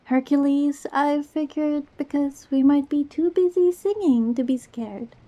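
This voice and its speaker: A woman's voice: sits high, measured pace, mellow. A woman playing a goofy, affectionate young girlfriend in an intimate ASMR roleplay, with a playful, teasing manner. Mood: casual, explaining.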